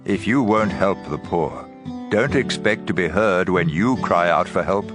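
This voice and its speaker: A man's voice: deep voice, a little slow. A man reading scripture as dramatized audio Bible narration. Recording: music bed under voice.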